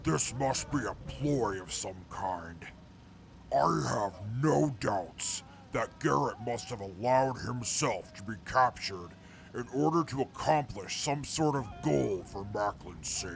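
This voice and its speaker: An actor playing a reformed male mutant soldier in an audio drama, speaking in a formal, measured way. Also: medium pitch, animated, gravelly, faint music behind. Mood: grave. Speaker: former enemy scout now with the crew.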